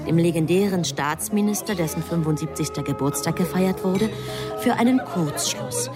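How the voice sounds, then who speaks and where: A woman's voice: lowish voice, normal speed, close to an everyday voice. Woman acting a precise, businesslike angel agent. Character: efficient, deferential female angel, a subordinate agent reporting. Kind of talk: German radio drama acting. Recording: music behind the voice.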